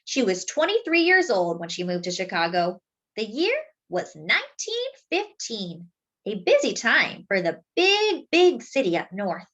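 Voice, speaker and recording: A woman with a fairly high voice reads a children's story aloud. Her intonation swings widely from phrase to phrase. She phrases deliberately, stretching key words for emphasis in an expressive storyteller's style. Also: highs cut off.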